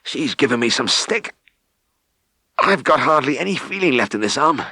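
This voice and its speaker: A man's voice: medium pitch, expressive, normal speed, bright, forceful tone, husky, no room sound, studio quality, voice pushed up, exaggerated voice. A man voicing a good-natured, plain-spoken working farmer in an audiobook. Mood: breathless, exhausted.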